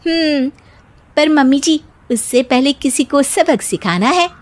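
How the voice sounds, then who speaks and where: A woman's voice: high-pitched, lively intonation, unhurried. A woman voicing a timid, complaining young daughter-in-law in a cartoon comedy, with a whiny manner. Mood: boastful. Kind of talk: Hindi cartoon comedy dialogue.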